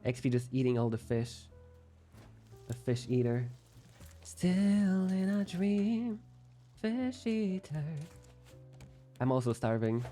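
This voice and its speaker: A man's voice: a little high, big pitch swings, slow pace, long pauses, dark tone, soft background music. A young man streaming live, chatting casually while gaming in a playful, rambling, self-deprecating way.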